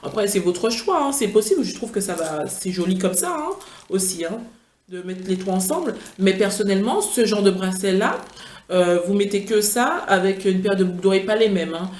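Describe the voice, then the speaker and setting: A woman's voice: medium pitch, animated, a little fast, a little mellow. Chatty, tired woman in an echoey room. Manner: chatty, playful, gushing with enthusiasm. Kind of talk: casual product-review vlog.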